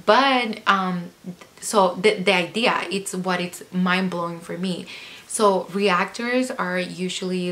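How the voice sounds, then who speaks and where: A young woman from El Salvador, a chemical engineering PhD student, answering interview questions casually with many "like" and "um" fillers in a small bright room. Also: lowish voice.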